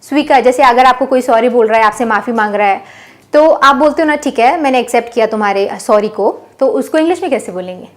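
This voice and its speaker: A woman teaching spoken English, explaining in plain speech and saying each model sentence slowly and clearly for learners. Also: on the high side, lively intonation. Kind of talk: bilingual language lesson.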